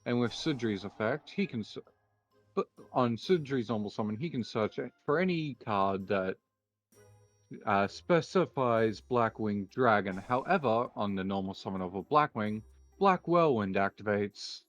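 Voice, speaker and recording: A man improvising an anime card-duel roleplay, calling out his moves in a deliberate, mock-formal way. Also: fairly low pitch, animated, faint music behind.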